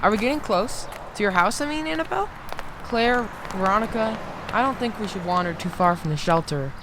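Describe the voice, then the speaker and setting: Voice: medium pitch, lively intonation, a little fast, background noise, close to an everyday voice. A performer playing a protective, level-headed boy, a big brother, in an audio drama.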